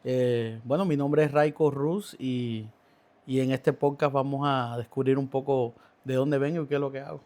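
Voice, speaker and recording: A man's voice: medium pitch, fairly even intonation, darkish tone. A man, a podcast guest telling his faith story with many halts and restarts. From Cuba. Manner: halting, many restarts and fillers. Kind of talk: testimony in a podcast interview.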